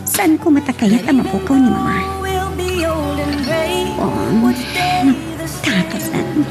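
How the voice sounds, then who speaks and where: A woman's voice: a little high, wildly swooping pitch, slow pace, gravelly, full character voice. A woman playing a scheming, hot-tempered daughter in a radio drama, with an agitated, emphatic delivery. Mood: brooding, scheming. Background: music.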